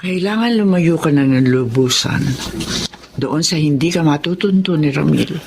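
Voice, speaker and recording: A woman's voice: low voice, moderate pace, noisy background. A woman playing a kindly, protective older mother-in-law in a Tagalog radio drama. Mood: concerned, helpful. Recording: faint background ambience.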